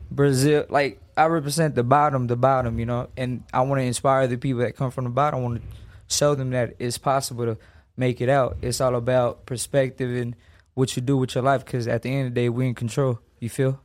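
Young streetwise boxer from Los Angeles. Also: male voice, medium pitch, little pitch movement, fairly quick, darkish tone. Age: 20s. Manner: streetwise slang, emphatic. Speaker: boxer guest. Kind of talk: casual interview.

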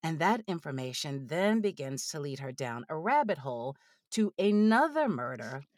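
A woman speaks as an interview guest in a conversational, storytelling style. Her voice is low for a woman but swings widely in pitch, giving it an animated feel. The recording is dry and close. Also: normal speed, no echo. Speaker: audiobook narrator, interview guest.

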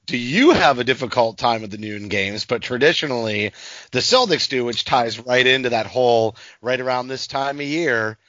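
A man's voice: mid-range voice, normal speed, bright, forceful tone, dry recording, muffled recording. A man hosting a podcast, chatting casually about sports. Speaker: podcast host.

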